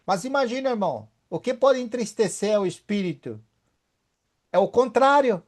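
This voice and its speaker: A man's voice: high-pitched, animated, normal speed. Emphatic man leading Bible study. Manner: emphatic, keeps asking the group for agreement.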